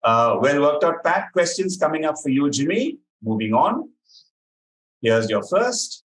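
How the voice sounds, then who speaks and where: A man hosting a quiz, reading questions to contestants and explaining answers in a measured, articulate way. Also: mid-range voice, rough recording. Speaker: quiz master.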